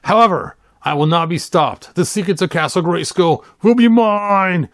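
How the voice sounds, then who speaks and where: A man's voice: high-pitched, normal speed, no room sound, voice pushed up. A man voicing a boastful, scheming undead villain from a storybook in a grandiose, declaiming manner. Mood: defiant.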